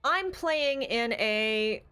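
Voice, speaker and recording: High-voiced woman chatting about tabletop games. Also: lively intonation, extremely slow, bright, forceful tone, clear, resonant tone, faint music behind. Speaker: podcast co-host. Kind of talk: casual discussion of tabletop gaming.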